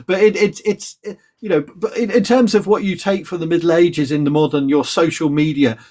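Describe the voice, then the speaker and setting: A middle-aged British man hosts a relaxed live chat, his voice sitting a little high for a man. He talks in a chatty, hedging way, piling up fillers and restarts as he works toward a point. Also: brisk. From Britain. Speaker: host. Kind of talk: casual live-stream conversation.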